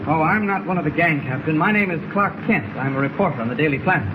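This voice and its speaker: A man's voice: a little high, expressive, fast, lightly acted. A man playing a mild, eager American newspaper reporter, polite and earnest, in 1940s radio acting style. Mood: earnest, friendly. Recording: muffled, very noisy 1940s radio recording.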